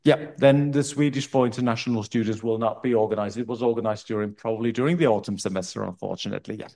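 A man's voice: on the low side, steady tone, quick pace, warm tone. Man with echoey room sound answering questions. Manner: loose and chatty, with frequent restarts. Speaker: university staff answering student questions. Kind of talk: casual Q&A session.

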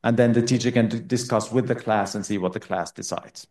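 A man's voice: lowish voice, steady tone, brisk, a little mellow. Man with echoey room sound answering questions. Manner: loose and chatty, with frequent restarts. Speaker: university staff answering student questions. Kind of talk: casual Q&A session.

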